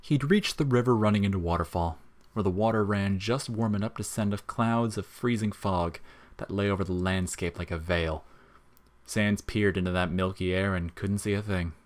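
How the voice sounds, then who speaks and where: Low-voiced man narrating a story. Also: normal speed. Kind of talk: scripted narration of fan fiction audio story.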